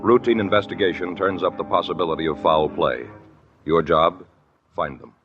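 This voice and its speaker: A man's voice: low-pitched, normal speed. A man narrating a 1950s radio crime drama in a grave, clipped voice. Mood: solemn. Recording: dull old 1950s radio recording with music.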